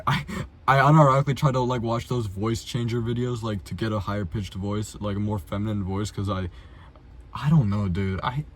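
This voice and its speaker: A young adult man chatting casually and unscripted as a video host, blunt, self-deprecating and full of slang and swearing. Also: lowish voice. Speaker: amateur filmmaker, video host.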